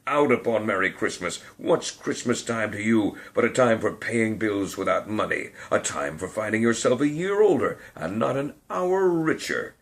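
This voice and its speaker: A man voicing a miserly old male moneylender who is cross and scornful and talks in a loud, stern, indignant way. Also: lowish voice. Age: 60s.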